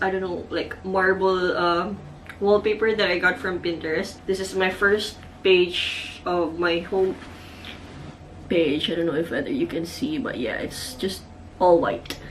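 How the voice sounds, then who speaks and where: Filipino lady in her 30s vlogging from her bedroom, chatty, mid pitch, switching into tagalog now and then. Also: slight background noise, rough recording. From Philippines. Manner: chatty, mixes Cebuano and Tagalog with English. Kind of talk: casual vlog talk.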